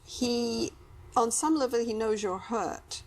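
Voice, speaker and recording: Husky woman leading a counselling session. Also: on the high side, slow pace, a little mellow. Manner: probing, reflects back what clients say. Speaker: couples therapist. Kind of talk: guided counselling conversation.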